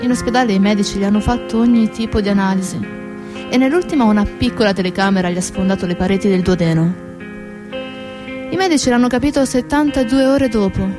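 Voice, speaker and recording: An actress playing a bitter, grieving daughter in a theatrical monologue, speaking emphatically with deliberate pauses. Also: female voice, medium pitch, lightly acted. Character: grieving, bitter daughter of a dead factory worker. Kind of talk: theatrical monologue over guitar. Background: acoustic guitar music.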